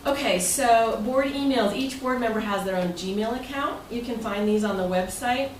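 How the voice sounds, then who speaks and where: A woman's voice: a little high, normal speed. A woman giving an informal, chatty and somewhat rambling presentation as president to members at a club meeting. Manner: chatty, rambling, loosely phrased. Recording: roomy, noisy recording from far off.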